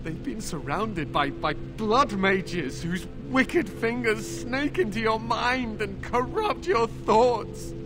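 An actor voicing a tormented, defiant young knight in a video game, anguished and halting, bitter and suspicious from captivity. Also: male voice, sits high, animated, music in the background. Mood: dread. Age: young adult. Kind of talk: dramatic video game voice acting.

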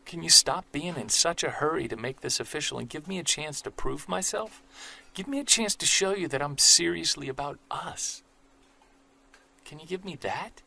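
An actor playing an earnest, soft-spoken Bigfoot investigator who narrates his own story in an audio drama. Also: on the high side, normal speed, gravelly, exaggerated voice, rough recording. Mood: pleading.

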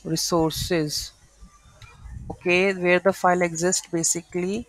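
A man presenting a software tutorial, thinking aloud haltingly as he walks through code on screen. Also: high voice, flat delivery, no echo, poor audio. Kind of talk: unscripted screen-share coding walkthrough. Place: voice-over to a screen recording.

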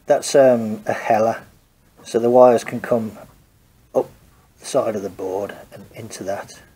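A man's voice: fairly low pitch, fairly even intonation, a little slow, warm tone, slight background noise, rough recording. A man with a head cold, casually talking through his car wiring work in a home garage, hesitant and thinking aloud. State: congested from a cold. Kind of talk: casual workshop walkthrough.

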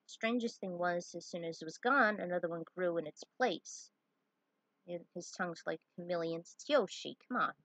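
Female storyteller, slightly rough husky voice, close and dry, informal narration with off-the-cuff asides. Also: fairly low pitch, steady tone, normal speed, fairly bright tone, dry recording, rough recording. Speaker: fan fiction writer reading their own story.

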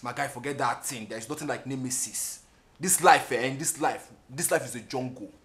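A Nigerian man playing a brash, smooth-talking, gold-digging boyfriend in a film drama, emphatic and given to repeating himself. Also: mid-range voice, brisk, fairly bright tone, close to an everyday voice. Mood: boisterous, cynical. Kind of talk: film drama acting, mixing English and Nigerian Pidgin.

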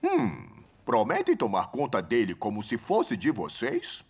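A man's voice: medium pitch, huge pitch swings, measured pace, music in the background. A Brazilian man voicing a jovial older motorbike in a children's cartoon, hearty and indulgent with youngsters. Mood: amused. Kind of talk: animated children's cartoon dubbing.

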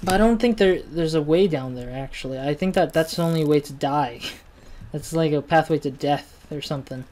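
A man's voice: on the high side, moderate pace, darkish tone. A young man with a Chinese accent giving casual, rambling commentary while playing a game, often muttering to himself and correcting himself. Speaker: gaming channel host. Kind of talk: casual let's-play commentary.

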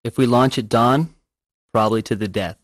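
A man's voice: mid-range voice, normal speed, natural voice. Man playing a blunt, cynical militant rebel. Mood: scornful. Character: tough, cynical male militant rebel in a radio drama.

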